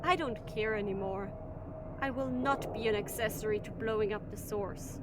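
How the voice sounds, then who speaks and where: A woman's voice: medium pitch, moderate pace, very noisy, close to an everyday voice. A woman playing a blunt, defiant shuttle captain in an audio drama, curt and dismissive in manner. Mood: defiant, fed up.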